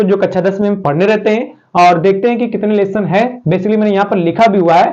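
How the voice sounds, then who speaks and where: A male math teacher with a sore, slightly hoarse throat, talking students through a lesson in a chatty, repetitive way. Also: sits high. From Uttar Pradesh. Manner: chatty, repetitive, addresses students warmly as "pyare saathiyon". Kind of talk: casual spoken math lesson. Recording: dull lo-fi sound.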